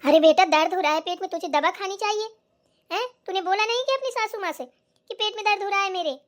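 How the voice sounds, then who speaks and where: A woman voicing a caring older mother in a family cartoon, soothing her daughter and gently admonishing her. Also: extremely high pitch, fast talker. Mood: concerned. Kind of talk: Hindi cartoon voice acting.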